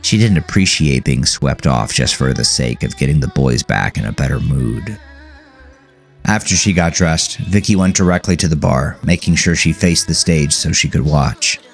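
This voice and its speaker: Very deep-voiced man narrating dark fiction. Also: expressive, moderate pace. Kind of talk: scripted horror fiction narration. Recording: music behind the voice. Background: music.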